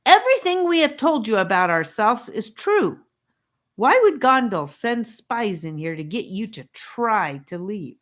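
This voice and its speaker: A woman voicing a defiant boy captive who shouts and demands answers. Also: extremely high pitch, big pitch swings, a little slow, voice pushed up, heavily acted. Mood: angry.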